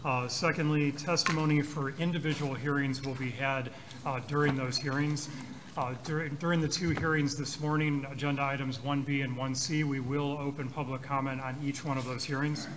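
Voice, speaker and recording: A man's voice: mid-range voice, steady tone, normal speed. Man, board chair, hesitant, lots of ums, city council chamber, noisy recording. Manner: hesitant, many ums and uhs. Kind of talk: formal meeting chairing. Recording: noisy public meeting audio.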